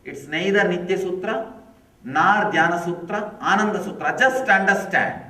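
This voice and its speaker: A middle-aged man with Tamil as his first language, giving a spiritual discourse slowly and emphatically, repeating key phrases. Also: sits high, sharp tone. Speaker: spiritual teacher. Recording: echoey hall from far off.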